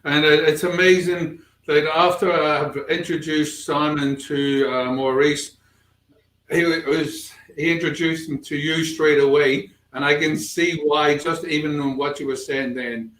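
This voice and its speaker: A man's voice: on the high side, steady tone, unhurried, a bit bright. A man hosting a webinar, affirming and picking up on his guest's words over a video call. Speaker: webinar host. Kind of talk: conversational interview. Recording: echoey room, distant mic, faint noise.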